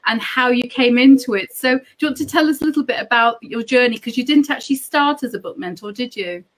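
A woman with a slightly high voice hosts a casual online chat. She is effusive and gushing, and she often restarts her sentences as she goes. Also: fast, low-quality recording. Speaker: host.

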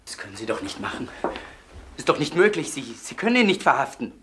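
An anxious, loyal woman in a crime radio drama. Her voice is tense and bright, with big swings in intonation. She stammers as she insists. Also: very deep voice, sing-song, quick pace, slight background noise, exaggerated voice. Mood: desperate.

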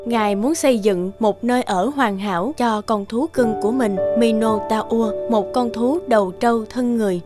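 A woman narrates a story for a cartoon. Her voice sits a little high, and the delivery is scripted storytelling. Music plays clearly underneath. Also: normal speed, few pauses.

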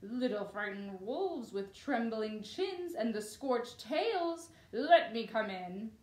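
A woman's voice: fairly high pitch, animated, a little mellow. A big bad storybook pig, played by a woman, bullying and demanding. He draws out his threats with heavy emphasis, in a slightly echoing room. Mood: menacing. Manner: slow, emphatic, threatening delivery.

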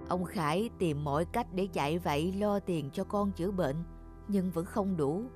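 A woman playing a gentle, sorrowful elderly mother in a cartoon drama, speaking solemnly. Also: mid-range voice, lightly acted.

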